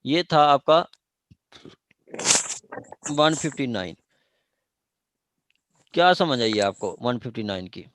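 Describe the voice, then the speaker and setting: Man, Pakistani accent, medium pitch, informal online lecturer, explanatory, repetitive, checks understanding, dry close sound. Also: very animated, takes it slowly, long pauses, a bit bright, no echo. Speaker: law lecturer. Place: online meeting.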